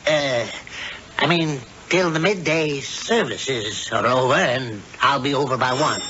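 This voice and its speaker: An actor playing a folksy, easygoing blacksmith husband with a scheming side, in a 1970s radio drama. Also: male voice, mid-range voice, measured pace, crowd noise, lightly acted. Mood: businesslike, easygoing. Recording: noisy, dull old radio broadcast.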